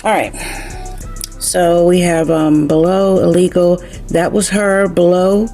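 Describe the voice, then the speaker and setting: A woman's voice: fairly low pitch, steady tone, slow, mellow, soft background music. A woman from New Jersey, raised in the South, with a slight Southern country accent, chatting casually through a spiritual card reading and often asking "you know what I'm saying?". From New Jersey, raised in South Carolina. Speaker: tarot card reader.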